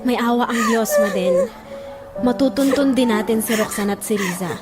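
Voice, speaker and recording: A woman's voice: fairly high pitch, very animated, normal speed, over loud music. A woman with a Filipino accent playing a caring, reassuring friend in an audio drama, soothing and earnest. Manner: soothing, earnest, gently insistent. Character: caring, reassuring female friend of a distressed mother.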